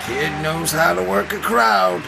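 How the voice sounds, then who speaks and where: Very gravelly, wry robot onlooker played by a man. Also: high-pitched, a little slow, music in the background, full character voice. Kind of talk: animated motion-comic dialogue.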